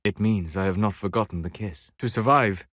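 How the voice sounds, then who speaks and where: An actor playing a composed, scholarly elven mage in a video game, speaking in a formal, measured, quietly judgmental way. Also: male voice, on the low side, dry recording, studio quality. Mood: grave, reflective. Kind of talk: video game character dialogue.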